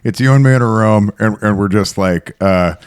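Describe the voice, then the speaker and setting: A man's voice: lowish voice, normal speed, clean tone. A man co-hosting a podcast, riffing playfully in crude, swearing banter. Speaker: podcast co-host.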